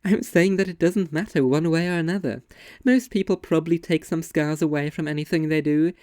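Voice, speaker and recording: A performer playing a young woman in a scripted literary debate about ideas, speaking in an articulate, opinionated and deliberate way with a sardonic edge. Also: mid-range voice, dark tone, no echo, studio quality, natural voice. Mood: argumentative, sardonic. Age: young adult. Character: two young women debating art, intense and sardonic. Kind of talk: scripted literary dialogue, argumentative monologue delivery.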